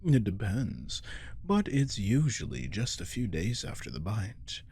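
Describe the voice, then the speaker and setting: Casting: male actor as a manipulative captive werewolf for ASMR drama, deep and unhurried, coaxing and possessive, with menace under the tease. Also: very animated, darkish tone, breathy edge, exaggerated voice. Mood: ominous, taunting. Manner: slow, coaxing, teasing, often dropping to whisper. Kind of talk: ASMR audio roleplay acting. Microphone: close microphone.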